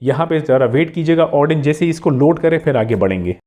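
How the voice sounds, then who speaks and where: A man's voice: a little high, lively intonation, brisk, warm tone. A man hosting a tech tutorial, narrating step by step in a polite, instructional way and repeating key steps.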